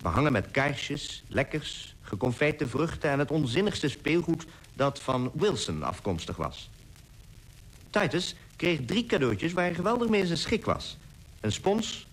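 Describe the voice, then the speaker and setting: An actor playing an expedition member who tells lively anecdotes in a radio play. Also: male voice, fairly high pitch, measured pace, husky, heavily acted, low-quality recording. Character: male expedition member telling lively anecdotes of camp life. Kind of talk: scripted radio drama narration.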